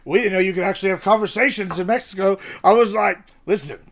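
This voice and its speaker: A young woman hosting a casual podcast chat, loud and profane, calling people "bro". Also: medium pitch, fairly quick. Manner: loud, swearing, says "bro" a lot. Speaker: podcast host.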